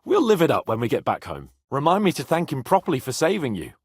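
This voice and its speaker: A man's voice: on the high side, wildly swooping pitch, fast talker, husky, no echo, clean studio sound, full character voice. A man playing a cocky, haughty young medieval nobleman in a video game, sardonic, emphatic and brash. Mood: wry, frank. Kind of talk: video game character dialogue.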